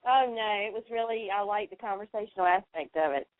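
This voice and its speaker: A woman's voice: mid-range voice, fairly quick, dry recording. A woman co-hosting a radio show in casual, agreeable, affirming chat. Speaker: radio co-host. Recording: dry tinny phone line.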